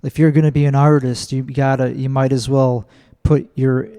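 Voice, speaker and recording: Man, comedian guest, smooth resonant voice, blunt, candid, offhand. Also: medium pitch, flat delivery, normal speed, warm tone. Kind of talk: casual conversational interview.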